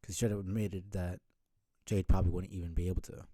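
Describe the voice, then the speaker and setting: A man's voice: sits low, little pitch movement, fast, mellow, no echo. A man giving casual, rambling live-stream commentary in a blunt, sweary way. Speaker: streamer reading a webcomic aloud.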